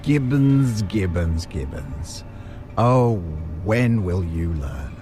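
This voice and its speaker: A man performs a villainous butcher boss for an audio drama. His voice sits low, and he talks with theatrical, mock-polite formality and quiet threat. Music plays clearly behind him. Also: expressive, very slow. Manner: formal, theatrical, falsely courteous. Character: maniacal butcher crime boss, menacingly polite.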